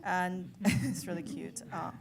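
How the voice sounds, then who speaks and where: A woman in her thirties from China with a Chinese accent, whose first language is Chinese, doing a stand-up comedy set with deadpan, self-mocking delivery. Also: fairly low pitch, takes it slowly, gravelly. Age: 30s. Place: comedy club stage.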